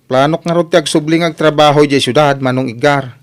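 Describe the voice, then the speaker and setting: A man with an Ilocano accent and a highish voice, playing an aggrieved adult back home after a breakup. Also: fairly even intonation, a little fast, soft background music, lightly acted. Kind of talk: Ilocano comedy drama acting. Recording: noticeable background noise.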